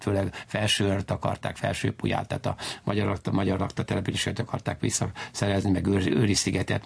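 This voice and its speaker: A man giving an unscripted historical explanation as an interviewed historian, halting and digressive, often correcting himself. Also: on the low side, vocal fry, breathy edge.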